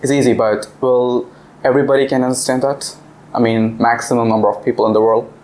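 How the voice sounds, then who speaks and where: A man's voice: mid-range voice, level tone, fairly quick, darkish tone, low-quality recording. A man leading a discussion with an English speaking club, asking the students questions. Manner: asks the class questions. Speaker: visiting English teacher. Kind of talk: classroom discussion.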